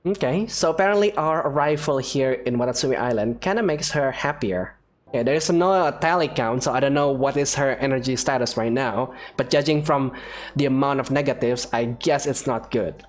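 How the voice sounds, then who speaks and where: A woman's voice: very deep voice, normal speed. A woman hosting a gaming video, casually reading dialogue aloud and commenting, with game music behind her.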